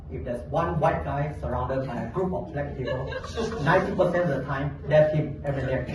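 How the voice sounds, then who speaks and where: Guy doing a funny contest speech, deadpan and playful, mic far off, super echoey hall. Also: medium pitch. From Thailand. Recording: echoey hall, distant mic, audience noise.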